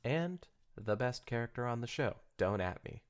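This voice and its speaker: A man's voice: medium pitch, animated, normal speed. A man co-hosting a podcast, giving casual, opinionated commentary. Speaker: podcast co-host.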